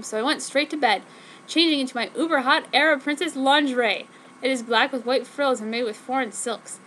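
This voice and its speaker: A woman giving a theatrical, mock-dramatic comedic reading as narrator, deliberately overwrought. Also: high-pitched, a bit bright, a little hiss or hum, low-quality recording. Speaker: narrator reading fan fiction aloud.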